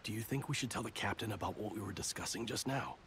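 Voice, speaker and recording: A man's voice: lowish voice, fast talker, fairly bright tone, slight background noise, natural voice. A man voicing an indignant, distrustful young soldier in a video game, with an emphatic, grumbling delivery. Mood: nervous.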